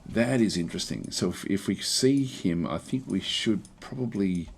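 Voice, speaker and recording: A man with a croaky voice casually talking through a strategy game, thinking aloud with many fillers and restarts. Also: low-pitched, expressive, normal speed, slight background noise. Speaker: gameplay commentator.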